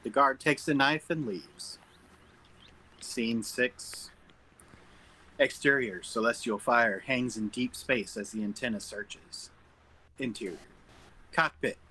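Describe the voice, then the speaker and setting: A man narrates stage directions at a script table read. His voice is bright and forceful with a husky, rough edge, at a middling pitch. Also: takes it slowly, pauses often, rough recording.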